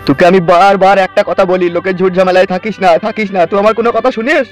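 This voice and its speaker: Guy doing a hurt old village dad, begs his son to stay calm, talks fast, faint music. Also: high voice, lightly acted. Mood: pleading. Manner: pleading, hushing, cautioning. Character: wounded older village father in hospital.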